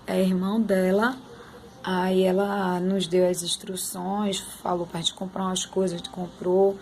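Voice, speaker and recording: A young woman vlogging about her son's time in hospital, slightly hoarse, talking to her viewers in a heartfelt, chatty way. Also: on the low side, level tone, measured pace, a little mellow, slight background noise. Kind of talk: emotional personal vlog.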